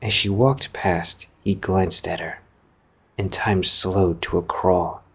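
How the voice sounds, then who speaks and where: A man's voice: low voice, steady tone, takes it slowly, a bit bright, slightly rough. A man narrating a scripted story as a storyteller. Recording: music behind the voice.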